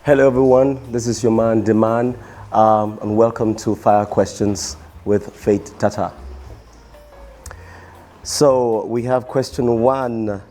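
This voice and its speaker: Man, young adult, mellow dark tone, tired strained voice, halting repeats, faint music underneath. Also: on the low side, fairly even intonation. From Cameroon. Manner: halting, with frequent repeated words. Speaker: musician guest. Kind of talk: casual interview, reading out quick-fire questions.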